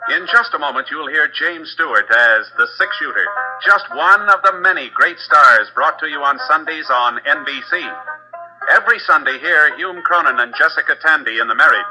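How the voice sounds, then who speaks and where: Very bright, forceful 1950s radio announcer man. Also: fairly high pitch, lively intonation, moderate pace, faint music behind, low-quality recording. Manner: formal promotional delivery.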